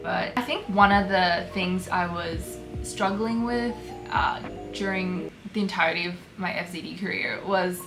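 A woman's voice: on the low side, unhurried, low-quality recording. Chatty young woman, music underneath. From Hong Kong. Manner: chatty, many fillers, relaxed and candid. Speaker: concept artist. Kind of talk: casual talk over artwork. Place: small bedroom studio, indoors.

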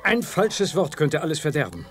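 Radio play scientist, cautious, explanatory, fairly high pitch, faint music. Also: male voice, very animated, normal speed, fairly bright tone. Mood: thoughtful, cautious. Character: cautious, authoritative male scientist professor. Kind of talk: 1980s German children's sci-fi radio play acting.

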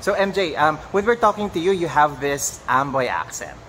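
A man interviewing a guest, asking long questions full of pauses, restarts and bits of Tagalog. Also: a little high, expressive, fairly bright tone, slight background noise, poor audio. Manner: halting, many "um" and restarts, mixes in Tagalog.

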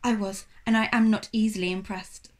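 A woman's voice: mid-range voice, steady tone, a little fast, close to an everyday voice. A woman voicing an elderly French male shop owner in a storybook reading, firm but enthusiastic, courteous and emphatic. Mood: admiring.

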